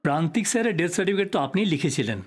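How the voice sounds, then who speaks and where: A man's voice: high voice, expressive, racing. A man playing a polite but persistent investigative journalist in an audio drama, asking courteous questions conversationally. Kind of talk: Bengali audio drama acting.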